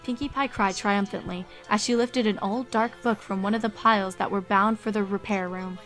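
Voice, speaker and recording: A woman's voice: medium pitch, fairly even intonation, normal speed, a bit bright. A woman reading scripted story narration as the narrator of a fan fiction audio story. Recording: music behind the voice.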